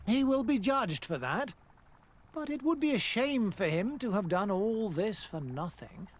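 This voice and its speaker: A man's voice: sits high, lively intonation, a little slow. An actor playing a formal, pompous male scientist who runs a colonial laboratory in a fantasy game. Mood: measured. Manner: formal, pompous, loftily dismissive. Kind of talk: scripted fantasy game acting.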